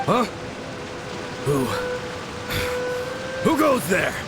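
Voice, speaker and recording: An actor playing a manic, possessed young man in a video game, theatrical and strained, with loud music behind him. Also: high-pitched, sing-song, very noisy, heavily acted. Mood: startled. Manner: drawn-out theatrical delivery. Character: possessed young man, manic, boastful about manliness, then pleading.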